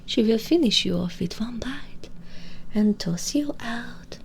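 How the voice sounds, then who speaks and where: A cruel, vengeful female vampire, played by a woman in an intimate audio roleplay. She speaks slowly in a breathy half-whisper, menacing and full of mockery, straight at the listener. The close binaural recording has faint ambient noise behind the voice. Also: on the high side, lively intonation, a little mellow, exaggerated voice. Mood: cold scorn. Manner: slow, menacing, mocking, addressing the listener directly. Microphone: close binaural microphone.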